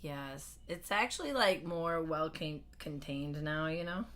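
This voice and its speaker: A young woman with a hoarse voice, a podcast guest chatting casually at home, laughing often and trailing off mid-sentence. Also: low-pitched, a little slow, fairly bright tone. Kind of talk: casual interview chat. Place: family home.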